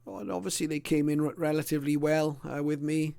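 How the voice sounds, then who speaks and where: A middle-aged or older man presenting a casual illustrated hobby talk in a hesitant, unscripted way with frequent pauses. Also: a little high, fairly even intonation, gravelly, warm tone.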